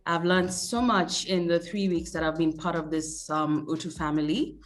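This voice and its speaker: Low-voiced woman on a video call. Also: moderate pace. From Kenya. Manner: relaxed, chatty, laughs easily. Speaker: interview guest. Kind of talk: casual video call interview.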